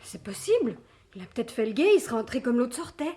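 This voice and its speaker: Anxious high-voiced young waitress. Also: female voice, sing-song, fast talker, darkish tone. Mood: earnest. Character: anxious young café waitress defending her accused fiancé. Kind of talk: French radio mystery play acting.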